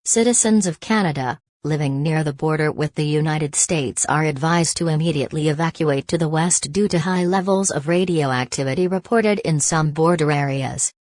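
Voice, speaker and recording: A woman with a low voice reads an official emergency notice. Her delivery is formal and instructional, recorded dry and close with no room sound. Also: normal speed, few pauses, a little mellow, no room sound. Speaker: emergency alert announcer. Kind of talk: scripted evacuation notice.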